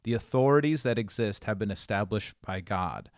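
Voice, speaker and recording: A man's voice: fairly low pitch, moderate pace, no echo, studio quality. A man co-hosting a podcast, talking casually and explaining things as he goes. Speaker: podcast co-host.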